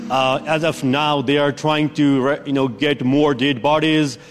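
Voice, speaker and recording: A man's voice: a little high, fairly even intonation, a little slow, slight background noise. A man reporting live for a news program, giving a careful, earnest account with frequent restarts.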